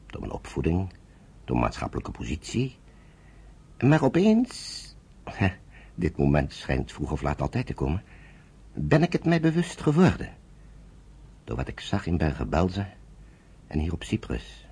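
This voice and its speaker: An older retired general with a very husky, low voice. His delivery swings widely in pitch, formal and thoughtful, in the measured manner of a radio drama. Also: wildly swooping pitch, a little mellow, full character voice. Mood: searching, confessional. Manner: formal, deliberate, reflective, with dramatic pauses. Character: thoughtful retired English general, courteous and introspective.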